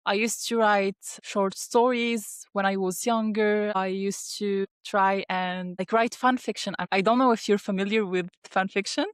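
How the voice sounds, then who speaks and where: A woman's voice: medium pitch, steady tone, unhurried, hardly any pauses, clean tone, dry recording, clean studio sound. A young woman from Tunisia whose first language is Arabic, chatting as an interview guest with frequent laughs and fillers. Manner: chatty, laughs often, many fillers and restarts. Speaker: guest, dental student and ghostwriter. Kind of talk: casual interview talk.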